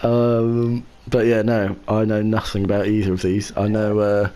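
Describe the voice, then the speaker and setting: A man co-hosting a casual racing podcast, talking in a measured way and softening his opinions as he goes. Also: fairly low pitch, completely flat delivery. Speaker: podcast co-host and league racer.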